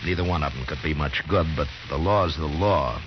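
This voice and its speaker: Stern calm western lawman, deep voice, hissy old radio show. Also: dull audio. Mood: firm. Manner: measured, firm. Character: calm, stern, authoritative frontier marshal. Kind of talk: 1950s radio western acting.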